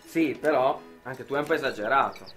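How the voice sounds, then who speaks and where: A man's voice: medium pitch, brisk, a bit bright, faint music behind, lightly acted. A young man, a student actor playing a sarcastic, bantering best friend in an amateur radio drama. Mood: wry. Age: young adult. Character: wry, teasing male best friend, Famo.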